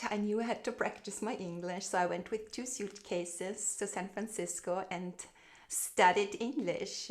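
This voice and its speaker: Give me a middle-aged woman with an Austrian accent, telling her story in a reflective, halting way. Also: mid-range voice. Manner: warm, reflective, frequent fillers and restarts. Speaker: guest, branding expert. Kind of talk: casual conversational storytelling over video call.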